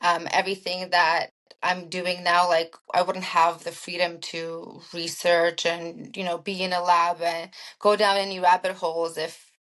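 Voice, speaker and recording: A woman from Armenia with a lowish voice, appearing as a podcast guest. She talks reflectively in halting phrases, often restarting her sentences. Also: little pitch movement, fairly bright tone, rough recording. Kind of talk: casual interview chat.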